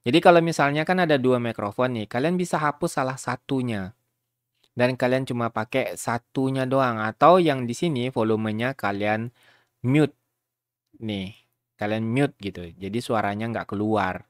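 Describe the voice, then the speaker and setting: A man talks viewers through software in an informal, rambling way. His voice sits at a middling pitch. It is recorded close and dry, like a home tutorial voice-over. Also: normal speed, dry recording. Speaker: video tutorial host.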